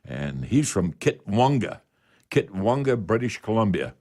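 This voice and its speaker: A man's voice: fairly low pitch, sing-song, unhurried, a little mellow. A Canadian man hosting a home improvement radio show, talking in a folksy, emphatic way and repeating himself to drive points home. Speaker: radio call-in show host. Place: radio studio.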